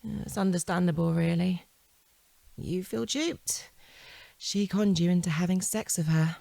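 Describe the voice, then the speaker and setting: An actress playing a shrewd, composed police detective who questions a suspect in a controlled, insistent way in an audio drama. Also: female voice, lowish voice, unhurried, gravelly, dark tone, dry recording. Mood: falsely sympathetic.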